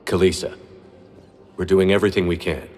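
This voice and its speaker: An actor playing a resolute military commander in a video game, measured, terse and earnest. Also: male voice, low-pitched, little pitch movement, a little mellow, soft background music, natural voice. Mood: earnest, determined. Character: resolute military commander, adult man, grave and steady. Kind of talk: video game dialogue acting. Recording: reverberant hall sound.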